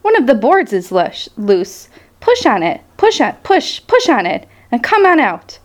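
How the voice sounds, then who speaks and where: Woman voicing an excitable high-pitched storybook goose. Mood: urging. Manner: rapid, repeats phrases over and over. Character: bossy, excitable, fast-talking goose egging on a pig. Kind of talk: children's storybook read-aloud.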